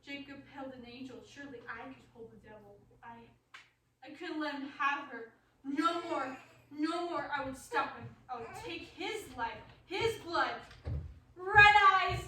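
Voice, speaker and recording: A woman playing a battered, dying madman in a radio drama, haunted and rambling in halting, obsessive repetitions. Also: sits high, pushed and bright. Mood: anguished, frantic. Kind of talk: stage-style radio drama acting. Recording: echoey room, distant mic, faint noise.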